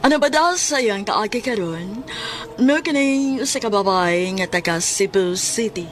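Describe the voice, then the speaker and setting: A woman's voice: mid-range voice, lively intonation, measured pace, background noise. A woman with a Cebuano Filipino accent introducing a radio drama as its announcer, in a dramatic presenting style.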